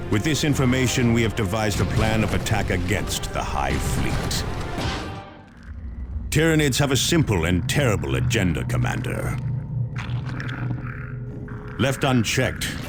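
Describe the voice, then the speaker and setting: A commanding space marine lord giving a game briefing. His voice is low and swings widely in pitch, oratorical and solemn, with music underneath. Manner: oratorical, formal, weighty. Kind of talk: dramatic video game briefing. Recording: music behind the voice.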